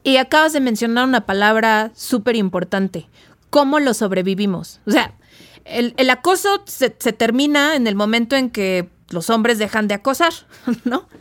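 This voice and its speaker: Mexican woman host, frank and thoughtful, smooth clear voice, dry sound. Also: fairly high pitch, lively intonation, normal speed, no room sound. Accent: Mexican Spanish. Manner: thoughtful, frank, occasional swearing. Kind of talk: feminist discussion podcast.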